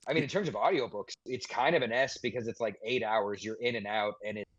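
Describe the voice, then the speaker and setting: A man's voice: on the low side, fast talker, slightly rough, no room sound. A man co-hosting a podcast, chatting casually and informally. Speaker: podcast co-host. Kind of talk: casual chat. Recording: dull, slightly lo-fi microphone.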